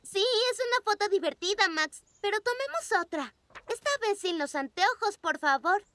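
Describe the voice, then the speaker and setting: A voice actor playing a young bunny big sister in a children's cartoon, patient and instructive, with expressive delivery. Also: very high-pitched, normal speed, exaggerated voice. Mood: encouraging. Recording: music bed behind the voice. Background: cartoon background music.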